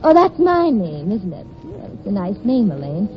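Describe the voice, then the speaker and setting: Woman acting a poised, wry wife, very animated. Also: medium pitch, normal speed, natural voice. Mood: composed, dry. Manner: arch, composed, knowing irony. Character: poised, wry wife facing her rival. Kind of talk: 1940s radio acting. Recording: dull 1940s radio broadcast.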